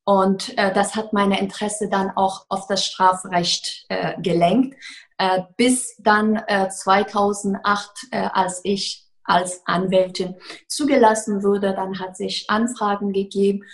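A woman's voice: mid-range voice, fairly even intonation, slow. A woman, a defense lawyer giving earnest, hesitant interview answers full of fillers. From Afghanistan.

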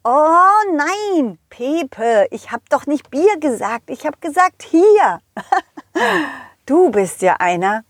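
A woman's voice: sits high, no room sound, studio quality, heavily acted. A woman voicing a friendly, eager male dog who narrates a children's audio story expressively, speaking directly to the kids. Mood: insistent. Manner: expressive, addresses children directly, halting delivery. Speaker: host and narrator.

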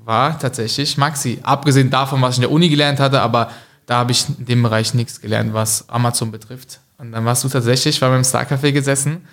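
A young man talking as a podcast guest in a relaxed way, pausing often with hesitations. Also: medium pitch. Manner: relaxed, many "äh" hesitations, quick-witted. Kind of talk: casual podcast chat.

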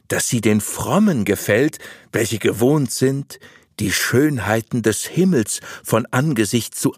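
A sly, lecherous abbot from an old tale, put on by a male reader. He coaxes with oily piety and deliberate phrasing. His medium-pitched voice moves expressively in a very dry recording. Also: husky, dry recording. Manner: unctuous, persuasive, deliberate. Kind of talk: audiobook storytelling.

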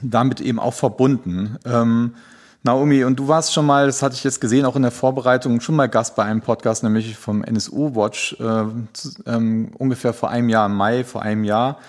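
A man's voice: mid-range voice, fairly even intonation, moderate pace. A man hosting a podcast interview, introducing guests with many hesitant fillers. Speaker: podcast host.

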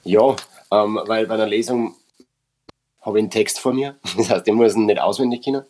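Brief: Austrian male actor, low husky voice, reflective podcast guest. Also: fairly even intonation, brisk, a little mellow, low-quality recording. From Upper Austria. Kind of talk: casual podcast conversation.

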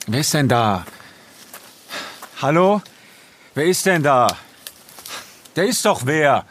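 An actor playing an uneasy man on a trip with friends in a naturalistic audio drama, speaking hesitantly and haltingly. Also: lowish voice, animated, a little hiss or hum. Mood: alarmed, irritated.

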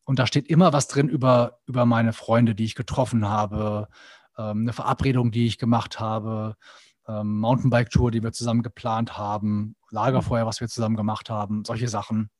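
A man's voice: on the low side, little pitch movement, moderate pace, a little mellow, no echo, studio quality. A middle-aged man guesting on a podcast, talking earnestly and with animation, often acting out little quoted inner voices. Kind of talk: reflective conversational interview.

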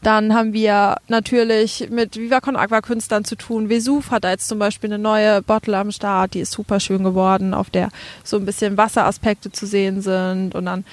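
A woman's voice: mid-range voice, flat delivery, normal speed, no echo. A young woman with a Franconian accent, a podcast guest chatting casually with many fillers and frequent laughs. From Franconia, Germany. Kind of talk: casual interview chat.

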